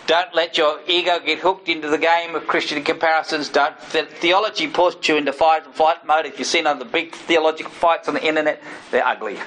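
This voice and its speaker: A man's voice: on the high side, steady tone, brisk, a bit bright, a little hiss or hum, low-quality recording. A man teaching an informal sermon, talking conversationally with personal asides and frequent checks with his listeners. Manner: personal asides and self-disclosure.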